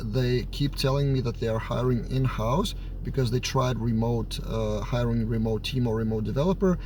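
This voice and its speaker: A man with a Lithuanian accent speaks in an everyday mid-range voice that sounds a little under the weather from a cold. He thinks out loud, with hesitations and fillers between phrases, as a developer explaining his view on camera. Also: mid-range voice, slight background noise. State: has a slight cold. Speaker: software developer and team lead. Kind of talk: casual to-camera talk.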